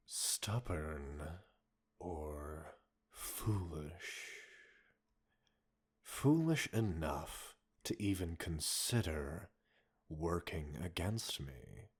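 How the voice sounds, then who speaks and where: A man playing a cold, condescending villain scientist in an audio roleplay, a possessive captor speaking in a measured, mocking, formal way. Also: low-pitched, slightly rough, full character voice. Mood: condescending, probing. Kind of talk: dramatic audio roleplay acting addressed to listener.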